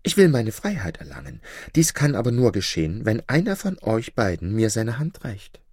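A man's voice: fairly low pitch, sing-song, moderate pace, darkish tone, dry recording, studio quality. A man voicing an enchanted princess trapped as an owl, speaking plaintively and earnestly. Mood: hopeful, pleading. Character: enchanted princess turned owl, young, sorrowful.

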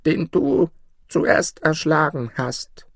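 A sinister old forest mother from a fairy tale, put on by a male narrator. She speaks slowly and ominously in a lifted, lilting voice, cold and vengeful, recorded dry. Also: a little high, lively intonation, creaky, no room sound, pitched above the performer's own voice, exaggerated voice. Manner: slow, ominous.

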